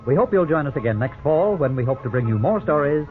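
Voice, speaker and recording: A man delivers scripted announcements in a crisp, formal announcer's manner. His voice comes through a thin, tinny old broadcast with music playing clearly behind it. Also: on the high side, very animated, fast. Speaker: radio announcer.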